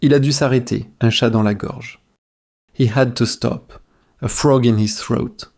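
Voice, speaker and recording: Slow, measured man reading drills. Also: mid-range voice, steady tone, a little mellow. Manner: measured, repetitive phrase-by-phrase delivery. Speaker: language teacher.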